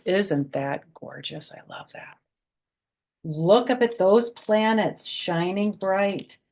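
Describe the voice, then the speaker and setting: An older woman doing a children's read-aloud storytime, moving between expressive sing-song reading and soft, gentle asides. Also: lowish voice. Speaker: storyteller, children's author and former schoolteacher.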